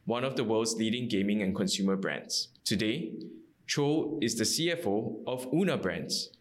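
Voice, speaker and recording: Podcast host, lowish voice. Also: male voice, lively intonation, normal speed. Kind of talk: scripted podcast intro, then interview questions.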